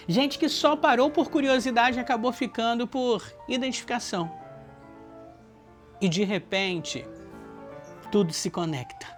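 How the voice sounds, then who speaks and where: Earnest Brazilian narrator over music, mid-pitched voice. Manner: earnest, rhetorical delivery in short listed phrases. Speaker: book project organizer and narrator. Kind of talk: reflective scripted narration. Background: background music.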